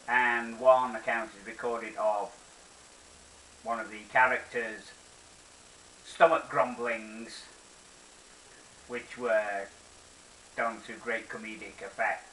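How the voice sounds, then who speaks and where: Older man, slow, in an echoey room. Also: medium pitch, pushed and bright. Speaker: presenter. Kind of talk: nostalgic reflective monologue. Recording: roomy echo, distant mic, faint hiss.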